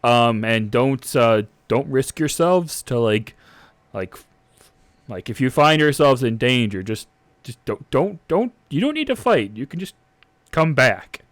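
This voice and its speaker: A man's voice: mid-range voice, sing-song, measured pace, no room sound. A flustered captain who stalls, restarts and rambles through his orders, played by a man. His voice climbs and drops a lot, and it sits close and dry on the mic. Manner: hesitant, rambling, full of restarts. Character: flustered, hesitant male captain.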